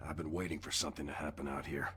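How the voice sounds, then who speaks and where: Very deep, gruff old occult hunter. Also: male voice, little pitch movement, racing, a bit bright. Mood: ominous brooding. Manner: gruff, brooding, portentous. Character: grizzled, ominous veteran occult hunter, gruff and foreboding. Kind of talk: dramatic video game cutscene monologue. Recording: music under the voice. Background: music.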